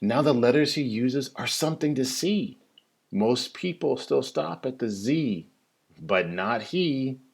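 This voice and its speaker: Male storybook reader, slow and deliberate, highly animated, theatrical singsong emphasis on the rhymes. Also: gravelly, slightly rough. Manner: theatrical, singsong rhyming delivery with drawn-out emphasis. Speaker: teacher reading a story aloud. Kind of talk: expressive children's picture-book read-aloud.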